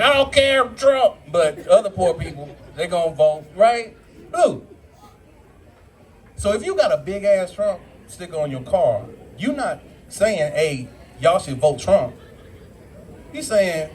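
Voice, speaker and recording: A man's voice: a little high, darkish tone, a little hiss or hum. A man doing a brash, profane stand-up set in a brew pub comedy club, acting out other people in his bits.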